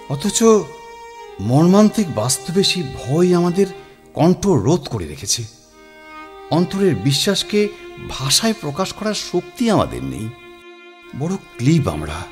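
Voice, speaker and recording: A man playing an anguished, helpless older publisher in an audio drama, pleading and apologetic in a theatrical, halting way. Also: medium pitch, a little mellow. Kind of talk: Bengali audio drama acting.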